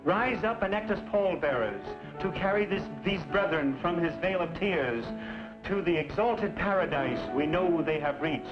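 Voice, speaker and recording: An actor playing a meek, unctuous frontier undertaker who talks in pious, flowery, ingratiating phrases in an old western. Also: male voice, high-pitched, lively intonation, normal speed, music in the background. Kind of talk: old western screen drama acting. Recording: dull old film soundtrack.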